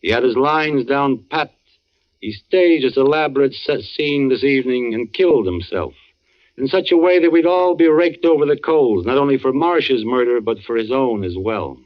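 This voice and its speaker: An actor playing an earnest, somber man, a knowing family friend, with measured dramatic delivery in 1940s radio style. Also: mid-range voice, expressive, lightly acted. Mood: grave. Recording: muffled old radio recording.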